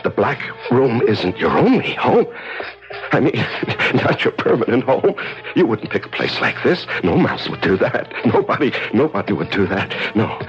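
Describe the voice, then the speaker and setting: An actor playing a desperate, unraveling prisoner alone in the dark, haltingly repeating himself in a radio drama monologue. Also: male voice, mid-range voice, sing-song, dull audio, lightly acted. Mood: anxious, searching. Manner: halting, repetitive, talking to himself. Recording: vintage radio drama with music behind.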